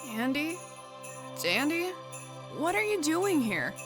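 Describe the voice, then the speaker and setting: A woman's voice: high voice, sing-song, takes it slowly, music in the background, heavily acted. A woman playing a headstrong, sulky young pegasus daughter in a fantasy audio drama. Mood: puzzled. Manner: petulant, grumbling.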